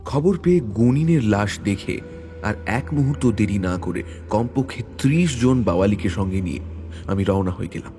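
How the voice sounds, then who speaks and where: Low-voiced man narrating an adventure story. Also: expressive, normal speed, mellow. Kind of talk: dramatic audiobook narration of a hunting adventure. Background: background music.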